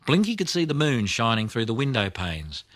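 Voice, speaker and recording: A man reading a children's audiobook as narrator, telling the story expressively with deliberate emphasis. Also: medium pitch, very animated, fairly bright tone, slight background noise.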